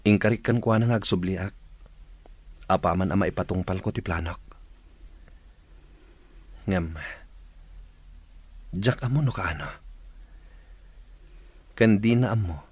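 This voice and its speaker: A man's voice: low-pitched, a little slow, long pauses, husky, dry recording. A man playing a brooding, homesick young man in a radio drama. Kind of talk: radio drama acting in Ilocano.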